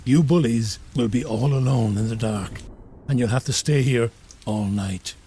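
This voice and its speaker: A man's voice: medium pitch, a little slow, mellow, slight background noise, natural voice. A man playing an all-knowing, slightly bumbling narrator of a comedic audio drama. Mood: wry. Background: music and rain.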